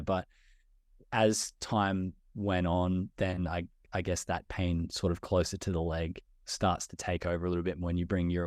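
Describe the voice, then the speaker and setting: Guest, young Australian man, very low voice, measured and reflective, dry sound. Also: dry recording. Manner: thoughtful, measured, repeats words as he thinks. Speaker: interview guest, public speaker. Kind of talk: reflective interview answers.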